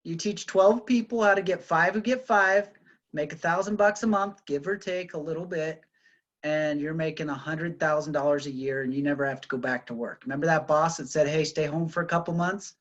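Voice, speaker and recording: Upbeat man pitching over a call. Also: a little fast, a bit bright, muffled recording. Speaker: business partner explaining a compensation plan. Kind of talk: enthusiastic sales explanation on a call.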